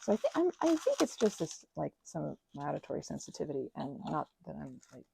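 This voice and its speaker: A young woman chatting in a rambling, casual way while she works on a craft project, sometimes dropping into soft whispers. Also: low-pitched, sing-song, quick pace, vocal fry, slight background noise, rough recording. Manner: chatty, rambling, drifts between soft whispers and louder asides. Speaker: ASMR creator.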